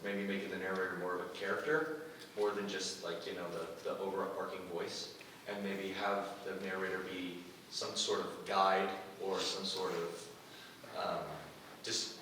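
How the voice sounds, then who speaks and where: A man's voice: sits low, flat delivery, unhurried, bright, forceful tone. A man offering a suggestion from the audience, explaining it casually and without a script. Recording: echoey room, distant mic, background noise.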